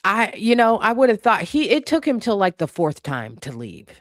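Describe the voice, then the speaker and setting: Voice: mid-range voice, sing-song, moderate pace, dry recording, clean studio sound. A livestream host chatting casually and off the cuff with viewers, opinionated and sassy, in colloquial language. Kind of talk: casual unscripted chat, reacting to comments.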